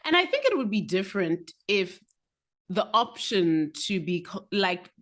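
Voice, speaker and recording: A woman from Zimbabwe, co-hosting a podcast and talking frankly in a relaxed conversational way. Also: medium pitch, unhurried. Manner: frank, conversational, lightly laughing asides. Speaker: podcast co-host. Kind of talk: candid casual chat.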